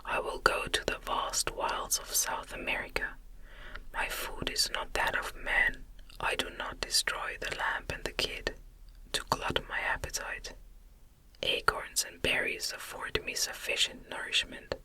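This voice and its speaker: A woman voicing a lonely, eloquent male monster in a gothic storybook reading, imploring earnestly and formally in a breathy whisper. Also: a little slow, sharp tone, rough recording. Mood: persuading, hopeful.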